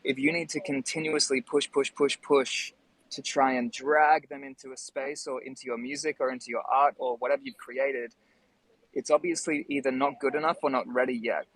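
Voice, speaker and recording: A man's voice: medium pitch, fairly even intonation, moderate pace, fairly bright tone, rough recording. A man in a casual discussion, explaining his thoughts in a reflective way. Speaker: panelist in an online music discussion. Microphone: phone.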